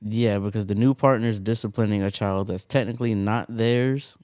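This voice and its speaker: Man, young, very low voice, steady questioner, dry studio sound. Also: normal speed, no echo. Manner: steady, asks questions, explains plainly. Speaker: podcast co-host. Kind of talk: casual interview chat. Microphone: close studio mic.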